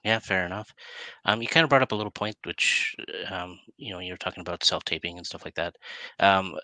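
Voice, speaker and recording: Middle-aged male interview host. Low, crisp-edged voice, close dry headset sound, informal halting questions. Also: pushed and bright, no room sound, poor audio. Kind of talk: casual interviewing. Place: plain room indoors. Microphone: headset on a video call.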